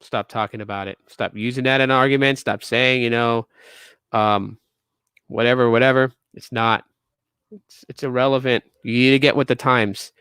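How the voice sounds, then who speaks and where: A man's voice: medium pitch, fairly even intonation, moderate pace, fairly bright tone, clean tone, no echo. A man in his late thirties hosting a tech podcast, ranting casually to viewers with emphatic repeats and restarts. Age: 30s. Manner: emphatic, repetitive restarts, lecturing.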